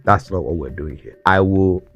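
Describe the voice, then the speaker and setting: A man with a Nigerian accent, a native Igbo speaker, giving an earnest talk on culture in slow, deliberate phrases that trail off. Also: low-pitched, fairly bright tone, faint music behind. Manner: slow, deliberate phrasing that trails off at sentence ends, with wry chuckles.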